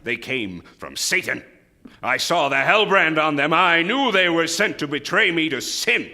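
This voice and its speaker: An actor playing a feverish, penitent old man on his deathbed in a stage radio drama, speaking in halting, anguished declamation. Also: a little high, very animated, bright, forceful tone, heavily acted. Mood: delirious, agitated. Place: theatre stage before a live audience.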